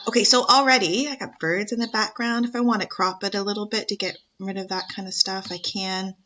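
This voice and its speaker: Bright-voiced woman teacher, chatty tutorial. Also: mid-range voice, steady tone, a little fast, dull audio. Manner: chatty, encouraging. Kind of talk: screen-share tutorial.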